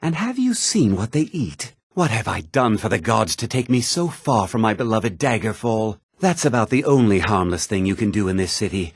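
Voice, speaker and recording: An actor playing a haughty, put-upon fantasy townsman in a video game, husky, theatrical and often sarcastic. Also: lowish voice, sing-song, normal speed, hardly any pauses, no echo, studio quality, heavily acted. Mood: scornful, irritable. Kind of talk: video game character dialogue.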